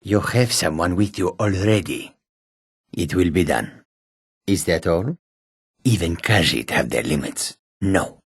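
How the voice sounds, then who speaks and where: Fantasy game cat warrior, husky rasp, slow and deliberate, sly, proud, very dry close sound. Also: male voice, low-pitched, animated, dry recording, full character voice. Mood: sly, menacing. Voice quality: husky, raspy. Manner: slow, deliberate, often speaks of himself in third person. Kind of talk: fantasy video game character barks.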